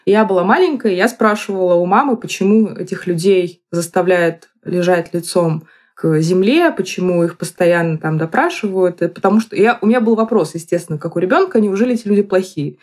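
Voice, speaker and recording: A woman in her thirties giving reflective explanations in a podcast, picking her phrases haltingly and deliberately. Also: fairly low pitch, darkish tone. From Moscow, Russia.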